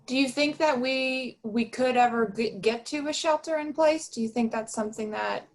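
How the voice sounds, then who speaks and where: A woman's voice: a little high, normal speed. A woman hosting an online talk, polite and appreciative in a chatty way. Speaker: host.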